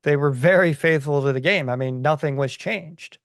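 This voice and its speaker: A man giving his opinions in a casual podcast chat, enthusiastic but halting as he thinks aloud. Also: fairly high pitch, no room sound, studio quality.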